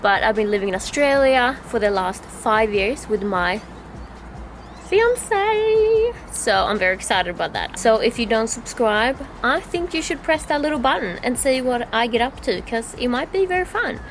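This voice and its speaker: A young woman from Sweden with a Swedish accent, chatting excitedly in a casual travel vlog and laughing often. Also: a little high, normal speed, faint music behind. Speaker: vlogger.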